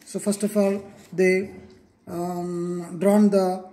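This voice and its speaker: A teacher from Telangana, a native Telugu speaker with a Telugu Indian English accent, gives a classroom lecture, repeating points and checking in with okay and clear. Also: mid-range voice, level tone, slow, warm tone, a little hiss or hum. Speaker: school teacher. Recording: echoey room.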